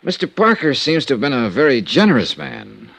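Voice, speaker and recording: An actor playing a shrewd, courteous American insurance investigator in a 1950s radio drama, clipped and probing. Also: male voice, medium pitch, sing-song, fairly quick, fairly bright tone, no room sound, exaggerated voice. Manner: probing, smooth, clipped hard-boiled delivery. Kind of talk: 1950s radio detective drama, first-person narration. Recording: vintage radio recording with music.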